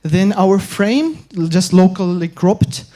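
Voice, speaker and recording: A young man from Ukraine giving an academic conference talk, halting with frequent pauses and restarts. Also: sits high, expressive, warm tone. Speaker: conference speaker.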